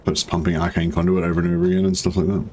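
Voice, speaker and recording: A man with a deep voice giving casual, rambling commentary while playing a strategy game. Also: very fast. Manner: rambling, relaxed, many fillers. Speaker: gaming streamer. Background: faint game music.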